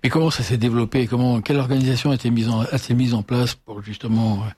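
A man's voice: mid-range voice, fairly even intonation, fast talker, a little mellow, husky, no echo, clean studio sound. A man interviewing a guest, interjecting often and stumbling over his questions.

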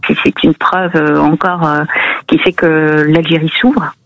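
A woman's voice: low voice, steady tone, a little slow, creaky, no room sound. A woman from France, calling a radio show and giving her views conversationally, often searching for words. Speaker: radio phone-in caller. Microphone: phone call to radio studio. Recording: dull, band-limited phone-in line.

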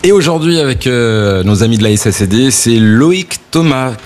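A man's voice: mid-range voice, lively intonation, normal speed, slight background noise. A man hosting a live radio show, introducing guests with upbeat, jokey patter. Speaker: radio host. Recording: live radio with music bed.

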